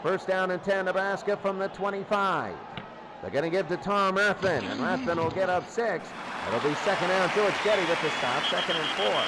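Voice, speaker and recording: High-pitched male football announcer over loud noise. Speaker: play-by-play sports announcer. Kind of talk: American football radio commentary. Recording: loud steady noise behind the voice.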